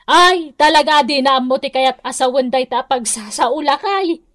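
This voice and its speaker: High-pitched scolding Ilocano mother. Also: moderate pace, a bit bright, no echo, studio quality. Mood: resigned disapproval. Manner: scandalized, nagging. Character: scolding, disapproving mother of a grown daughter. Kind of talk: Filipino radio comedy drama acting.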